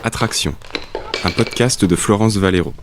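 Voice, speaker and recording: A man's voice: on the low side, normal speed, background noise. A man reading a scripted podcast title announcement.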